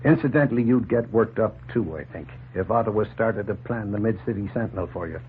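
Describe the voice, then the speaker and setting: An actor playing a plain-spoken, opinionated middle-aged farmer in a folksy, emphatic 1940s radio drama style. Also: male voice, lowish voice, a little fast, natural voice. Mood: calmer, persuasive. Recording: noisy, tinny 1940s radio recording.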